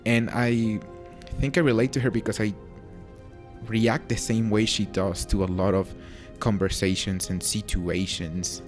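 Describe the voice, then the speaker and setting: A young man from Mexico in his late teens with a Mexican accent softened by British touches, chatting casually and answering viewer questions with a relaxed, self-deprecating manner. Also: on the low side, unhurried. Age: young adult. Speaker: YouTuber. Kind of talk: casual Q&A to camera. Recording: faint music underneath.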